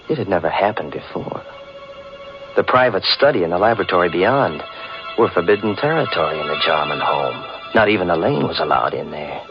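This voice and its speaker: A man acting a young man with a low voice, recounting a mystery in measured, suspenseful fashion. It is 1940s radio drama, muffled like an old broadcast, with music clearly behind him. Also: close to an everyday voice. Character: young man telling his eerie tale, furtively curious.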